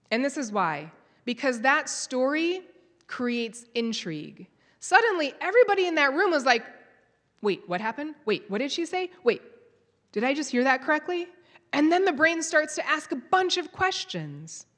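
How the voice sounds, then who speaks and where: A woman's voice: high voice, big pitch swings, normal speed, fairly bright tone. A woman giving a live conference talk, telling a story and quizzing the audience. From United States. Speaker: conference speaker. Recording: long hall reverb.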